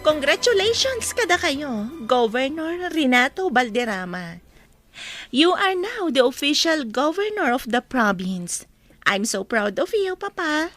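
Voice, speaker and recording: High, lively woman as an affectionate daughter. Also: a little slow, no room sound, faint music behind, full character voice. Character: affectionate, proud grown daughter of a governor. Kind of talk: Ilocano audio drama acting.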